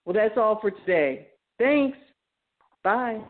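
A thin, phone-like recording carries a woman's voice at a medium pitch. She hosts a show solo, chatting informally through announcements. Her manner is conversational and enthusiastic, like someone promoting things she likes. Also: expressive, slow. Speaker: radio show host. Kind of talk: informal solo talk, announcing articles and events. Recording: thin, phone-like narrow-band sound.

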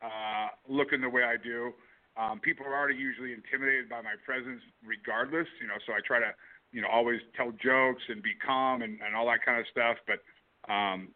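Excitable rambling man on a tinny line. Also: mid-range voice, brisk. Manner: excitable, rambling with repeated restarts. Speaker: co-host, fighter. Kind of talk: casual chat. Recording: phone-like line.

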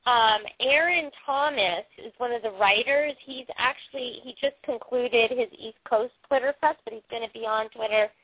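A woman's voice: fairly high pitch, normal speed, few pauses, dry recording. A woman co-hosting a casual chat, with lots of "like" and "you know.". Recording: tinny phone-like line.

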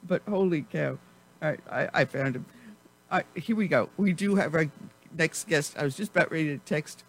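A woman hosting a talk radio show, chatting in a rambling way with frequent laughs while her voice is going hoarse. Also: sits low, moderate pace, rough recording. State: losing her voice. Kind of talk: casual talk radio chat.

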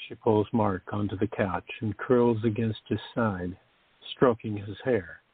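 A man's voice: on the low side, steady tone, a little slow, slightly rough. A man narrating a scripted radio play, reading scene descriptions, with a tinny, narrow sound.

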